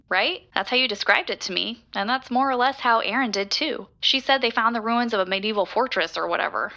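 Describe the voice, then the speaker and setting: A woman's voice: medium pitch, bright, forceful tone, no echo, muffled recording. An actress playing an earnest, doubting woman who hosts an investigative podcast, in a scripted audio drama. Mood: matter-of-fact. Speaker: podcast host. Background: music.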